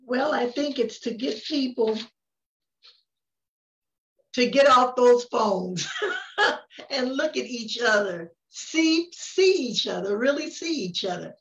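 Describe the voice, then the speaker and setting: An older woman reading a picture book aloud in an expressive storytelling manner, with dramatic emphasis. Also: on the high side, slow, pauses often, poor audio. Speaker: story reader. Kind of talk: picture-book read-aloud with casual asides.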